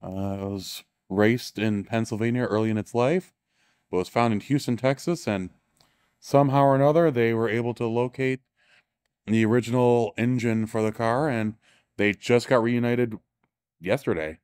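A man's voice: mid-range voice, normal speed, darkish tone, clear, resonant tone, studio quality. A man giving an enthusiastic, offhand walking tour of cars in a large indoor exhibition hall, correcting himself as he goes. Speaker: show tour guide and author. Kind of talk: casual walking tour commentary.

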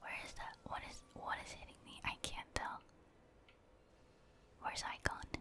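A woman speaks entirely in a soft, breathy whisper. She is commenting on a video game in ASMR style, as a streamer would. Her delivery is chatty, made up of short murmured asides and reactions to the play. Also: measured pace. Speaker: gaming streamer and ASMR host.

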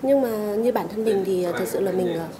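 Woman, twenties, informal interview, halting, medium pitch, clear background chatter. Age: 20s. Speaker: social media user interviewee.

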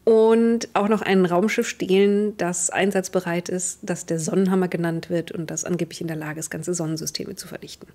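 A woman's voice: lowish voice, fairly even intonation, normal speed, hardly any pauses, dark tone. A young adult woman, recapping a tabletop role-playing game to her players as game master on a video call.

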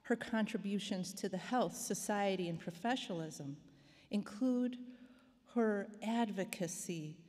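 A woman's voice: medium pitch, measured pace, a little mellow. A young woman, a physician, reading a formal prepared speech from notes at a lectern in a large auditorium, stressing key words. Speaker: physician and speaker at a ceremony. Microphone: lectern microphone. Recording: long hall reverb.

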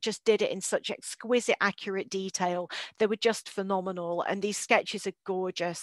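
A woman's voice: mid-range voice, no room sound. A middle-aged British woman giving an informal illustrated lecture in a conversational, enthusiastic and openly opinionated way. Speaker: guest speaker, amateur astronomer. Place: indoors on a group video call.

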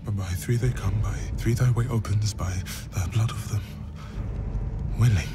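A man's voice: on the low side, normal speed, close to an everyday voice. A man playing a fearful, devout scholar in a video game cinematic, anxious and prone to stammering and prayerful pleading. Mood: horrified, hesitant. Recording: loud dramatic music bed. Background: loud cinematic music.

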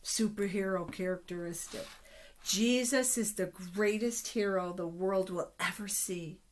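A middle-aged woman hosting a children's storytime, reading aloud with deliberate, expressive phrasing and pauses. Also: mid-range voice, a little hiss or hum. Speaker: children's storytime host at a church. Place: small indoor office.

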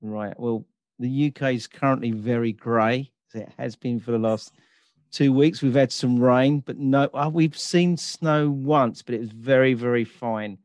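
A man's voice: medium pitch, unhurried, no echo. A middle-aged man hosting a podcast, reading an introduction and then chatting casually with a guest. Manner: reads sponsor list, relaxed questions with fillers. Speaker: podcast host.

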